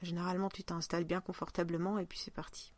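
A woman talking casually as a podcast host in relaxed conversation. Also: on the low side, fast, no echo. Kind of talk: casual chat.

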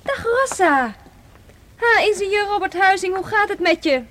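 A cheeky young woman in a radio play. Her voice is high. She chatters in a breezy, teasing way and sounds playful and independent. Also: animated, normal speed, a bit bright, a little hiss or hum. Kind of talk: radio drama acting.

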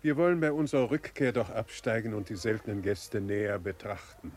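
A man's voice: fairly low pitch, a little fast, lightly acted. A man playing a courtly, reluctant older uncle of a princess in a literary radio drama, courteous and rambling. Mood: philosophical. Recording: outdoor ambience with faint noise.

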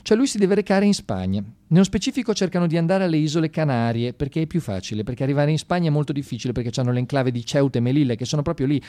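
A man's voice: fairly high pitch, lively intonation, rapid-fire, hardly any pauses, mellow. A man delivering an informal satirical monologue on radio, ironic and mock-enthusiastic, talking straight to his listeners in colloquial language. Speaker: radio monologue performer and storyteller. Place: radio studio.

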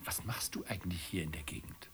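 An actor in a German radio play voicing a lonely, bitter, brooding man, gravelly and weary, answering in a terse, grudging way. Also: low voice, a little fast, a bit bright, whispered, full character voice. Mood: curt, resigned. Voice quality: gravelly, creaky.

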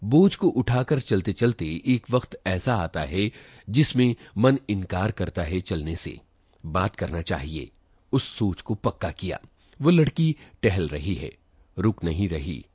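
A man narrating a literary short story in an expressive reading style. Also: low voice, measured pace, husky, no echo. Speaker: story reader.

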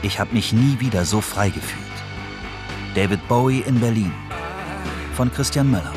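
A man's voice: on the low side, moderate pace. A man reading scripted narration for a radio documentary. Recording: music playing under the voice. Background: music with guitar.

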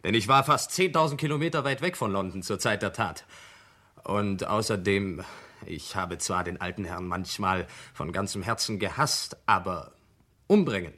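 An actor playing a self-assured nephew and heir under suspicion in a German radio crime play, indignant and emphatic. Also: male voice, sits low, moderate pace, bright, forceful tone. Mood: defensive, indignant.

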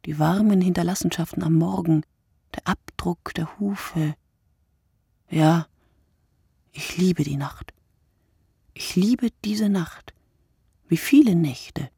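A brooding, solitary woman writer speaks in a radio play monologue. Her voice is low, husky and gravelly, close and dry. She is deliberate and poetic, often sinking into a breathy hush. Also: no echo, full character voice. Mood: intimate, poetic. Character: wakeful solitary night writer, a woman, brooding and word-obsessed.

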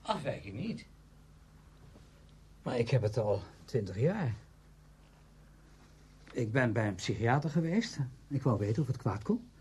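A man's voice: mid-range voice, very animated, unhurried, pauses often, a little mellow, breathy edge, exaggerated voice. A man acting in a radio play as a cynical, self-justifying friend, glib and emphatic.

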